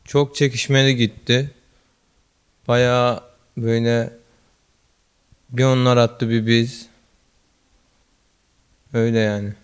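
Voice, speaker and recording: Young man, flat smooth modest voice. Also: medium pitch, takes it slowly, long pauses. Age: teens. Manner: brief, modest replies. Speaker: student athlete. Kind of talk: interview answers. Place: school office. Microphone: handheld mic held by interviewer.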